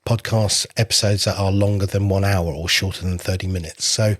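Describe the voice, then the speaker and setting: Low-voiced man explaining app features. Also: a little fast, no echo, clean studio sound. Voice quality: slightly husky. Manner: explains product features step by step in a relaxed, chatty way. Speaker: podcast app founder and co-host. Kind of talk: casual podcast chat.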